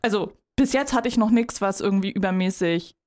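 A woman in her thirties co-hosting a podcast in casual chat. She laughs often and fills pauses with "ähm". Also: medium pitch, a little mellow. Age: 30s. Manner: chatty, laughs often, trails into fillers. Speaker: podcast co-host.

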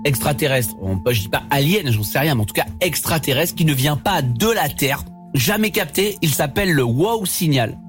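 A man's voice: fairly high pitch, lively intonation, moderate pace, hardly any pauses, soft background music. A man hosting an evening radio show, joking and laughing as he tells stories to his co-hosts. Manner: jokey, laughs often, loose storytelling. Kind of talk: lively radio banter.

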